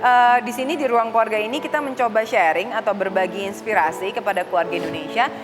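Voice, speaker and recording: A woman's voice: mid-range voice, fast, long reverb tail, music in the background. A woman hosting a talk show, chatting casually with guests and prompting them with many fillers. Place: studio set styled as a family room.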